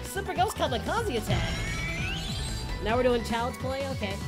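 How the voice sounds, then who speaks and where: Excitable cartoon hero played by a woman, crude reaction host, high and very lively. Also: moderate pace, music in the background. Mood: gleeful, brash. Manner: brash, crude, exclamatory. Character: excitable cartoon martial-arts hero, abridged parody man.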